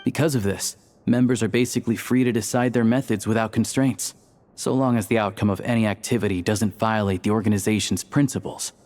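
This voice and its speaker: A man's voice: on the low side, fairly even intonation, fairly quick, a little mellow, lightly acted. A man voicing an easygoing, level-headed young member of a secret society in a video game, explaining things casually. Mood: calm, explanatory.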